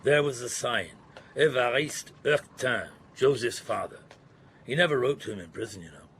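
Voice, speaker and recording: An actor playing a weary, dogged middle-aged police detective who narrates his case in a radio drama, with a gravelly voice. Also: medium pitch, lively intonation, normal speed, fairly bright tone, a little hiss or hum, lightly acted. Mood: reflective, brooding. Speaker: narrator and lead character. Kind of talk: radio drama acting, first-person narration.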